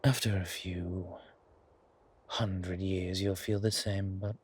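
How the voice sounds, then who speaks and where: Man acting an ancient, slow-drawling vampire father. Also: sits low, little pitch movement, a little mellow, a little hiss or hum. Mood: tender, musing. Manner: slow, savoring, theatrical delivery with long pauses. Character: ancient urbane vampire father, doting and predatory. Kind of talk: horror roleplay audio drama.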